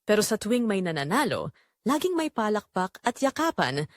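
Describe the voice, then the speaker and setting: A woman with a Filipino accent narrating a scripted romance story in an expressive, measured way with dramatic pauses. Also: mid-range voice, dry recording, studio quality.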